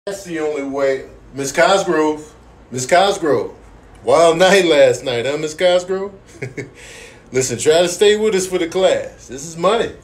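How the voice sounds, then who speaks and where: A man's voice: on the high side, lightly acted. Man playing an echoey, exasperated lecturer. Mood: teasing. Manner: pompous, halting, drawn-out. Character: exasperated, teasing economics lecturer. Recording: echoey room, faint noise.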